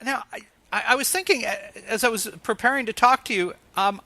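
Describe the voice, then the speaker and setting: A man's voice: very high voice, brisk, sharp tone, no room sound. A man hosting an interview show, moving between scripted announcements and conversational questions. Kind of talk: scripted introduction and ads, then interview chat.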